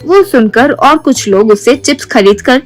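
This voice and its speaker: Higher-pitched woman narrating a story over music. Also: expressive, moderate pace. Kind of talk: scripted moral-story narration. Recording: music behind the voice. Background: background music.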